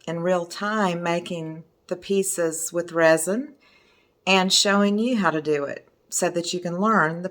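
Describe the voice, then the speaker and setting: Woman, hoarse, middle-aged, unhurried, chatty and enthusiastic, art teacher at home. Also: fairly low pitch, a bit bright. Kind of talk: casual video talk showing artwork. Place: her home in the evening.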